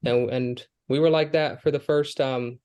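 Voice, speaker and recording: An earnest young man tells his life story in a relaxed interview. His pitch sits in a middle range. He talks with lots of "like" and restarts. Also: unhurried, smooth. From Kenya, Somali family. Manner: earnest, with frequent fillers and restarts. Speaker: guest, Air Force airman.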